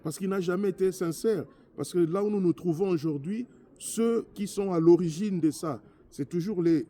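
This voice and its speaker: A man's voice: high voice, mellow, slight background noise. A man from DR Congo with a Congolese accent giving an impassioned political address as a politician, emphatic and repetitive in his delivery. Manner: emphatic, repetitive, switching between French and Lingala. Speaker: politician, former member of parliament.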